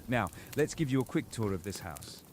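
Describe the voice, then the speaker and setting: A wry adult wizard, played by a man, welcoming and dryly sardonic. His low voice moves around a lot, in audio drama style with faint background noise. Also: big pitch swings, moderate pace.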